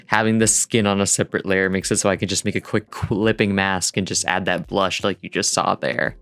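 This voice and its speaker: Low, clear-voiced man rambling through a tutorial. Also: fairly even intonation, fairly quick, a bit bright. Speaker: digital artist narrating a dev vlog. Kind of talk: casual rambling tutorial voice-over. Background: music.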